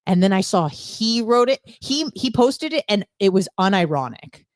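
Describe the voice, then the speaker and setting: A woman's voice: mid-range voice, sing-song, normal speed, no echo, studio quality. An American woman co-hosting a casual radio talk show, chatting in a candid, gossipy, opinionated way. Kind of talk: casual opinionated podcast chat, venting.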